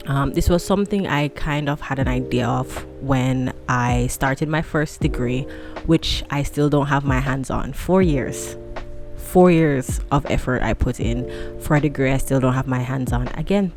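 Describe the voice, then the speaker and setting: A woman in her late twenties chatting casually to camera at home, rambling and self-deprecating, a fan running nearby. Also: rumbling low. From tropical country. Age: 20s. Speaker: vlogger.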